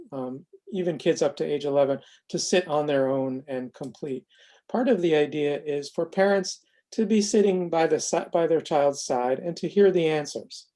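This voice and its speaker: A man presenting a software demonstration in an informal, unscripted way, explaining carefully and haltingly with self-corrections. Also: fairly high pitch. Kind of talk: informal narrated screen demo, unscripted explanation.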